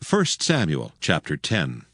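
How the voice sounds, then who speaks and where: A man narrating scripture dramatically in a measured storytelling manner. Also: a bass voice, wildly swooping pitch, fairly bright tone, no room sound, clean studio sound. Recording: music behind the voice.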